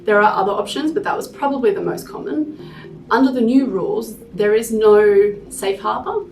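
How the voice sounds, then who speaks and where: Female explainer host, creaky mid-range voice, structured delivery, recorded in a reverberant room. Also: medium pitch, moderate pace, a little mellow, slight background noise. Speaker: host of a tax explainer series. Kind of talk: informal professional explainer to camera or listeners. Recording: roomy, distant mic, music bed.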